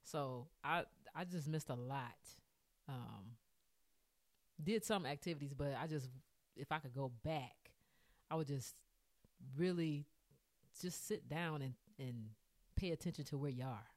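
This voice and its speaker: A woman's voice: low-pitched, unhurried, pauses often, darkish tone, no echo. Earnest woman in her forties, dry sound. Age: 40s. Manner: reflective, earnest, with frequent restarts. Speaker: podcast guest. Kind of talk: casual group conversation.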